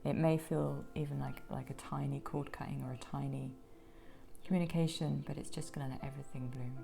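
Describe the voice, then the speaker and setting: A woman with a croaky voice giving a gentle pick-a-card tarot reading, slipping into soft whispers now and then. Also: extremely low pitch, fairly even intonation, fairly quick, faint music behind. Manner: gentle, soothing, drops into whispers.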